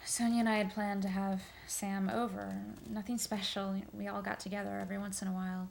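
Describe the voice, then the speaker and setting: A woman's voice: medium pitch, little pitch movement, brisk, vocal fry, darkish tone, close to an everyday voice. A woman playing an earnest witness in an audio drama, speaking hesitantly and conversationally as she recounts events to an investigator. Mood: matter-of-fact. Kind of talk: audio drama interview testimony. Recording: faint background noise.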